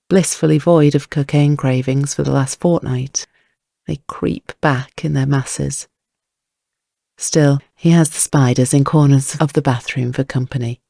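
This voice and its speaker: A man's voice: medium pitch, gravelly, dark tone, no echo, clean studio sound. A man narrating scripted crime fiction as an audiobook, with wry, literary storytelling.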